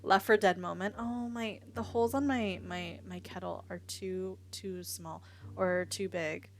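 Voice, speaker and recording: Slow, giggly Midwestern streamer woman. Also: on the low side, fairly even intonation. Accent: Midwestern American. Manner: giggly, rambling, reads chat aloud. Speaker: Twitch streamer. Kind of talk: casual chat with stream viewers. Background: faint music.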